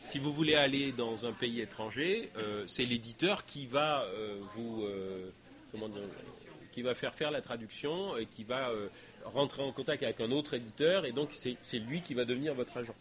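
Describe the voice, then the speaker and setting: A man's voice: medium pitch, normal speed, fairly bright tone. A man, speaking French as his first language, answers audience questions after a lecture in a hall, thinking aloud with many fillers and restarts. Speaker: guest speaker.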